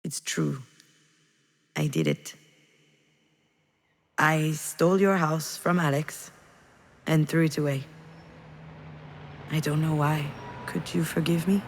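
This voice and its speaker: Grieving mother for narrative game: low register, intimate halting confession, guilt-laden, long reverb tail. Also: lively intonation, breathy edge, exaggerated voice. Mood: guilty, pleading. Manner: halting, confessional, addressing someone directly. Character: grieving, guilt-ridden mother confiding in her lost child. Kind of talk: dramatic video game voice acting, intimate monologue.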